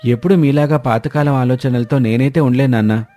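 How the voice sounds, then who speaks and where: A man's voice: medium pitch, fairly quick, mellow, faint music behind, natural voice. Man playing an impatient modern young son. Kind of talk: cartoon moral story dialogue.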